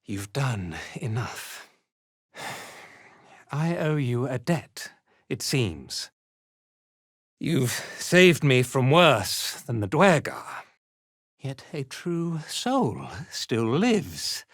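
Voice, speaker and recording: A man playing a haughty, cruel dark elf zealot commander in a video game, speaking in imperious, menacing, theatrical pronouncements. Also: mid-range voice, very animated, very slow, pauses often, no room sound, clean studio sound, full character voice. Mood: grudging. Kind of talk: video game character acting.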